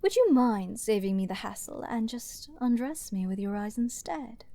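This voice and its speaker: A woman's voice: on the high side, expressive, no room sound. Woman acting out a haughty, flirty, menacing princess, dry close sound. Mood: flirty, mocking. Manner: haughty, theatrical, drawn-out phrasing with hushed breathy asides. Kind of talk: dramatic ASMR roleplay audio acting.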